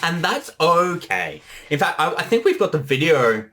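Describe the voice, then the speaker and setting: A creator chatting casually through a commentary track, in an animated, rambling way. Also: medium pitch, normal speed. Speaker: creator giving commentary, voice actor. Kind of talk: casual commentary chat between two creators.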